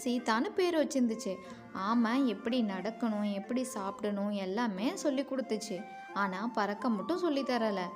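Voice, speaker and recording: Higher-pitched woman telling children's stories. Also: moderate pace, over music. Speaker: storyteller. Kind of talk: scripted children's story narration.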